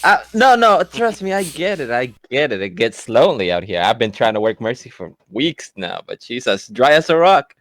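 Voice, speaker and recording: Dry-recorded, mid-pitched male gamer. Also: very animated, moderate pace, hardly any pauses, a bit bright, dry recording. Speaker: player in a tabletop role-playing game. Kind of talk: casual in-character banter.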